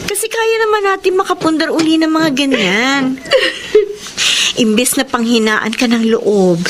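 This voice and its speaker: A woman's voice: high-pitched, a little hiss or hum. A woman playing a protective, steadfast eldest daughter in an emotional Filipino radio drama. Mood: firm, consoling. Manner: emotional, urgent, consoling.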